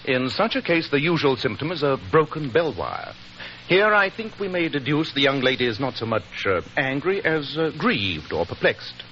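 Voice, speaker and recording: An English gentleman detective in a 1940s radio play. He is cool, analytical and authoritative, with precise phrasing and very varied intonation. The sound is dull, with clear background noise. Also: mid-range voice, big pitch swings, moderate pace. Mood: cool, assured. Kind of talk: 1940s radio drama acting.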